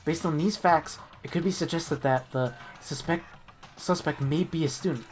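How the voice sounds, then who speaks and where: A woman reading a visual novel game aloud in an amateur dramatic style, expressive and stumbling over her words. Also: low-pitched, normal speed. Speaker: reader of the protagonist's lines in a group playthrough. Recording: music playing behind the voice. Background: game music.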